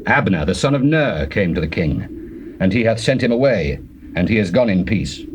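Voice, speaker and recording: A man's voice: lowish voice, big pitch swings, normal speed. A man playing a soldier reporting to his commander in a dramatized Bible reading, in declamatory style. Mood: urgent. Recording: noisy old cassette tape transfer.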